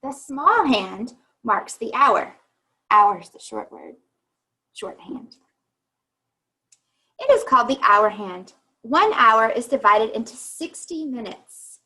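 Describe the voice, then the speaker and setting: A woman reading a children's picture book aloud like a teacher, pausing to think aloud and explain. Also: on the high side, bright, forceful tone, breathy edge, poor audio.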